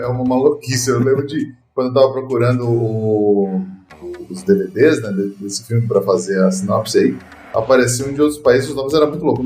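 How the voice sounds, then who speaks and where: A man's voice: medium pitch, darkish tone, roomy sound. A man with a Brazilian Portuguese accent hosting a casual film podcast, enthusiastic and full of "cara" and "né". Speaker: podcast host.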